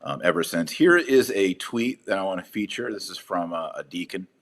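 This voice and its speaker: A man hosting a podcast, hoarse from a chest cold, talking earnestly and leaning deliberately on key words. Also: low-pitched, animated, fairly bright tone. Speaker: podcast host. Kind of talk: podcast commentary.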